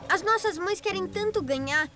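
Little boy motorbike, earnest, Brazilian, very high, cartoon dubbing, music behind. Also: moderate pace. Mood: worried.

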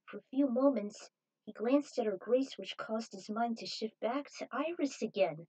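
A woman's voice: on the high side, moderate pace, darkish tone, poor audio. A woman reading a scripted adventure story aloud as narrator, in a dramatic manner.

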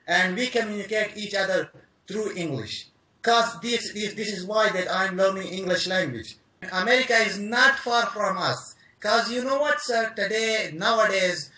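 A man's voice: high-pitched, pushed and bright. A young man with a Pakistani accent, earnestly listing reasons on a video call. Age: young adult. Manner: earnest, emphatic, lists things out. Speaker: caller. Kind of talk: eager video-call interview. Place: dim room indoors. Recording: echoey room.

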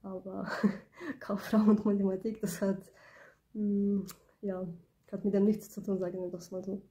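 A woman in her twenties from Ukraine, with a cold, talking softly and hesitantly in a casual vlog recorded on a phone in a small room. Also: medium pitch, level tone, measured pace. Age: 20s. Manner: soft-spoken, hesitant, many fillers. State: has a cold. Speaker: beauty business owner. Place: small indoor room, plain wall. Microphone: phone camera close up.

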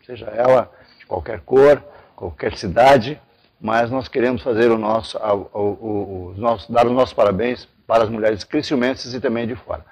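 Older man, mid pitch with gravel. Formal, halting invitation to an event. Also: fairly even intonation, a little mellow. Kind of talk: formal spoken invitation to camera. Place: large indoor hall.

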